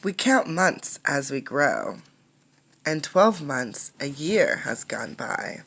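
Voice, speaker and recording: A woman reading a picture book aloud as a teacher, in an instructive way, pausing to explain and ask questions. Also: low-pitched, big pitch swings, vocal fry, fairly bright tone.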